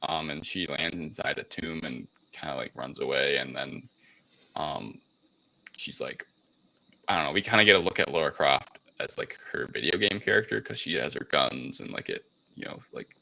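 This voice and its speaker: Low voiced guy w lots of fry, bright edge, rambly casual movie podcast chat. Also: normal speed, rough recording. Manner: rambling, informal, swears casually. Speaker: podcast co-host. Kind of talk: casual movie review chat with plot recap.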